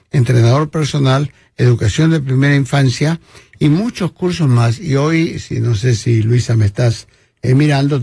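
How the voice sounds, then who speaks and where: A man hosting a language community radio show, reading ads and introducing a guest in a friendly, halting way with many fillers. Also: mid-range voice, vocal fry, warm tone, breathy edge, dry recording, studio quality. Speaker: radio host. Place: studio.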